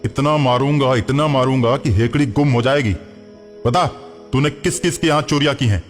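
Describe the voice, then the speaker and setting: A man's voice: mid-range voice, moderate pace, big-room sound, natural voice. A man voicing a bullying police inspector in a cartoon story, scolding and threatening as he interrogates. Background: background music.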